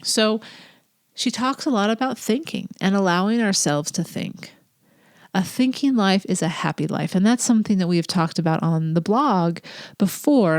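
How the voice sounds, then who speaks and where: A woman's voice: fairly low pitch, darkish tone, no echo, clean studio sound. A woman with a nasal voice, stuffed up with a cold, hosting her own podcast in an earnest, reflective way. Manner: earnest, reflective, drops to breathy whispers at times. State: congested with a cold. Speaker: podcast host; teacher. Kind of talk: casual solo podcast talk.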